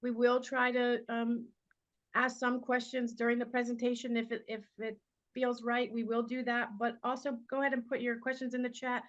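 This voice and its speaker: Woman, slightly high voice, webinar moderator, friendly housekeeping, dull lo-fi call audio. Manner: friendly, organized, some "uh" fillers. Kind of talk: hosting a webinar. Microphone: video call.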